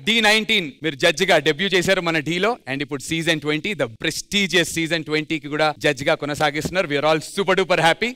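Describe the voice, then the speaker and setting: High, bright-voiced man hosting onstage. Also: a little fast, few pauses, clear, resonant tone. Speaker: host of a dance reality show. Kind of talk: excited stage hosting with playful banter. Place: television studio stage. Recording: music behind the voice. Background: music.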